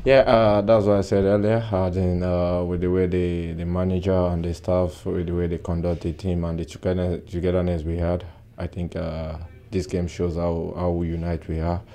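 A young man in his twenties, a footballer giving a soft-spoken post-match interview with many "uh" pauses, recorded indoors close to the mic. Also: deep voice, level tone. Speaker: football player. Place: indoor press backdrop at a stadium.

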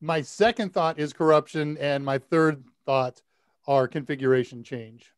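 An older man in his sixties hosting a live tech Q&A, talking casually and deliberately as he thinks aloud. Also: on the high side, clean tone, no room sound, clean studio sound. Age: older, 60s. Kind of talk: casual technical advice. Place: indoor room, video call.

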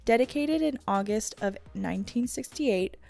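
Female travel narrator, scripted guide read, warm low-brightness tone with gravelly fry, faint music behind. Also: animated, normal speed.

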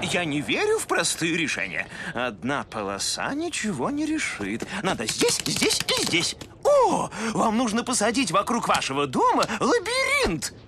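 A man's voice: high-pitched, wildly swooping pitch, measured pace, hardly any pauses, background noise, full character voice. A man voicing a confident, eccentric animal inventor in a children's cartoon, showy and self-assured. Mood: confident, brisk.

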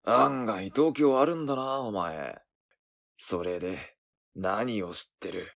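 Guy doing a rough cocky werewolf dude for a voice drama, deep voice with fry, short temper. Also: slow pace, a bit bright, heavily acted. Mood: menacing. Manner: rough, coarse, cocky slangy speech. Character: gruff, hot-tempered young male werewolf predator. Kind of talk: Japanese voice drama acting.

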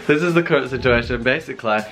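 A man's voice: medium pitch, fast, a bit bright, soft background music. A young British man chatting casually to a handheld camera, with a wry, self-deprecating sense of humour. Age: young adult. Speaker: vlogger. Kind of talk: casual vlog chat. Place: mostly rooms at home, some street and garden. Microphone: handheld camera at arm's length.

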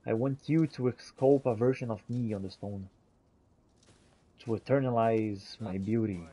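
Mellow-voiced man roleplaying in a fantasy game. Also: lowish voice, a little slow, slightly rough. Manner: theatrical archaic phrasing mixed with casual talk. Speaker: gamer roleplaying as the player character. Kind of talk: in-character roleplay commentary over fantasy game.

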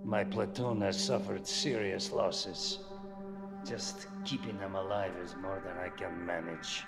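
An actor playing a weary, courteous middle-aged mercenary commander, speaking in a measured, formal, grave manner in a video game scene. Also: male voice, deep voice, fairly even intonation, vocal fry. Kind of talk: video game cutscene acting. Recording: long reverb, loud music behind.

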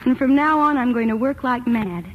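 A woman's voice: on the high side, moderate pace, narrow, tinny audio. A young woman speaking as an actress guest in a scripted, gushing promotional radio interview. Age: young adult. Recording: thin, noisy old radio broadcast.

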